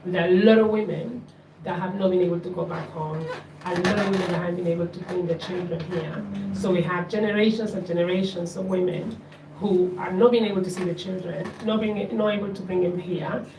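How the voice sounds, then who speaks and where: Bolivian-born woman, lowish voice, earnest audience contributor, recorded at a distance in a roomy hall. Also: fairly even intonation, a little fast, hardly any pauses, noisy background. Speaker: audience member. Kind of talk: open panel discussion. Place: community event room. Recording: echoey room, distant mic.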